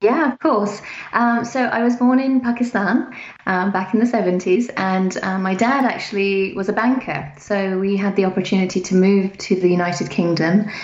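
A woman's voice: medium pitch, normal speed. Lady in her 40s chatting on a podcast, lots of ums, echoey and a bit muffled. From England. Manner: relaxed and talkative, many ums. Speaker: guest. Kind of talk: interview conversation. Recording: muffled roomy remote audio.

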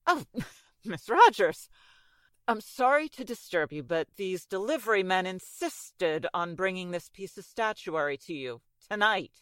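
Expressive, fussy landlady played by a woman. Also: mid-range voice, measured pace. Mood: apologetic. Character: fussy, polite female apartment building manager. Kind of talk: 1940s radio drama acting.

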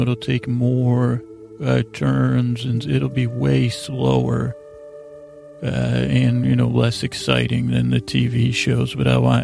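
A man hosting a bedtime podcast, rambling and halting through tangents with loose, slightly slurred diction to lull listeners to sleep. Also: mid-range voice, gravelly, a little mellow. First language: English. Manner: meandering, halting, repeats and trails off into tangents. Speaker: podcast host.

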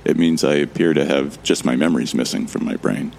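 A bewildered man with no memory , voiced by a male actor, tells his own story in a sci-fi audio drama. His voice is extremely deep and full of gravelly fry, with a wry, musing delivery. Faint noise sits behind it. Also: fairly quick, darkish tone. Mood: wry, uneasy. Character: bewildered amnesiac man, wryly recounting his ordeal. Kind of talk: first-person scripted narration in a sci-fi audio drama.

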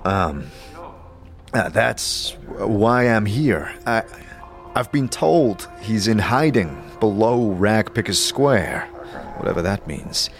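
A lowish, unhurried voice of a male actor as a wry wandering hero. He is blunt and offhand with hesitant pauses, lively in pitch, in a fantasy audio drama over faint music. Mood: unsure.

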